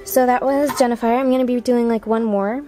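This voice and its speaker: A woman's voice: fairly high pitch, steady tone, a little fast, warm tone, soft background music. A woman chatting casually and apologetically to online viewers as an amateur voice auditioner. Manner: self-deprecating, apologetic.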